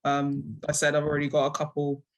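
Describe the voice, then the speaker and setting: A woman's voice: deep voice, completely flat delivery, a little fast. A woman from London with a London accent, answering interview questions in a hesitant, reflective way and often rewording herself. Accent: London English. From London, England. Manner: hesitant, reflective, self-correcting. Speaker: interviewed guest, comic book writer.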